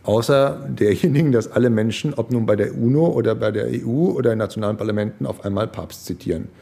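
A man's voice: medium pitch, fast talker, vocal fry, mellow, slightly rough. A man giving spontaneous commentary as an interviewee.

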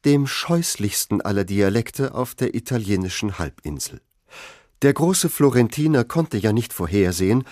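Guy reading a literary essay, lowish voice, really animated, dry studio sound. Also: no room sound. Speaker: narrator.

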